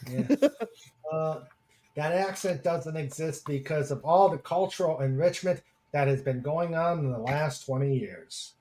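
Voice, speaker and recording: A man with a fairly high voice chatting on a podcast. He talks slowly and laughs often, in a playful way. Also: darkish tone. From American Midwest. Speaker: podcast host. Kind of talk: casual chat.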